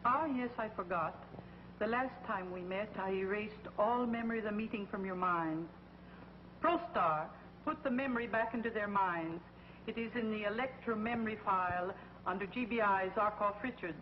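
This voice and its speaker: An actress playing a cold, scheming witch villain in a 1950s TV drama, speaking with commanding, precise diction over loud music. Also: medium pitch, moderate pace, highs cut off, slight background noise, natural voice. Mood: silkily menacing.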